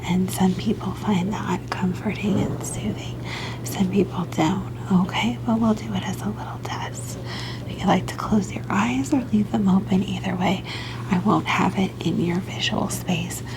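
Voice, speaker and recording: A woman performs an ASMR doctor roleplay in a soft, breathy whisper. She takes her time, speaking slowly and gently. Her manner is soothing and reassuring, like a clinician guiding a patient. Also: mid-range voice, fairly even intonation, poor audio.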